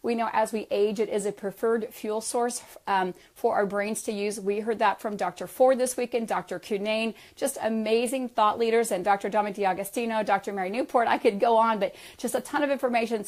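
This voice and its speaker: A hoarse, raspy middle-aged woman, a wellness practitioner from the United States, hosting a live question-and-answer stream in an upbeat, enthusiastic way on a headset mic. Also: on the high side, steady tone, fairly quick, few pauses, a little mellow. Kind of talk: live social media talk.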